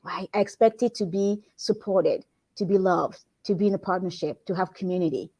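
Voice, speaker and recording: Mellow-voiced woman from Congo. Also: on the low side, moderate pace. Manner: earnest, reflective, repeats and restarts phrases. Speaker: guest, workplace wellbeing consultant. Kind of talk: conversational interview answer.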